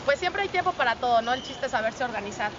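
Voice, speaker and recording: A woman's voice: on the high side, expressive, fast talker. A young woman with a Mexican accent, answering interview questions earnestly with some restarts. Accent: Mexican Spanish. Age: young adult. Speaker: soccer player. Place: outdoors by a pond. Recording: dull lo-fi sound, crowd and noise.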